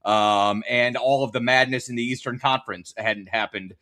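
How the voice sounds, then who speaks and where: A man with a medium-pitched voice talks casually as a guest on a sports show. He sounds like a radio announcer off duty, wry and jokey in how he puts things. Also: fairly even intonation, normal speed, pushed and bright.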